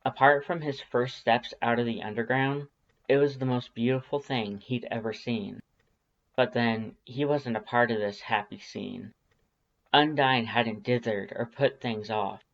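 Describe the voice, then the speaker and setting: A woman's voice: rumbling low, a little slow, muffled recording. A woman reading scripted story narration as a fan-fiction audiobook narrator.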